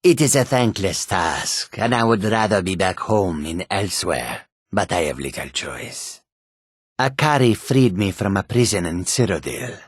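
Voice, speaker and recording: Fantasy game cat-man trader: very rough, breathy rasp, slow delivery, wary but courteous. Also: sits low, no echo, studio quality, full character voice. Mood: weary, measured. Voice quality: raspy, gravelly. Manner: deliberate, formal, speaks of self in third person. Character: wary, courteous male cat-folk desert trader and guard. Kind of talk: video game character voice lines.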